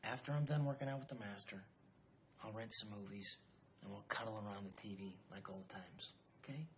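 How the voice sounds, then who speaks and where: A man's voice: on the low side, brisk, fairly bright tone, a little hiss or hum. A man playing a self-centred, evasive husband in a comedy film, wheedling and defensive. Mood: weary, dismissive. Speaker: film character.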